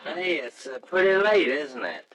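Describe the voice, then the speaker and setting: An actor playing a bashful but brash young reporter and eager suitor in an old-time radio drama, speaking haltingly. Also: male voice, fairly high pitch, very animated, a bit bright, a little hiss or hum, low-quality recording. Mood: eager, awkward. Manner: hesitant, halting.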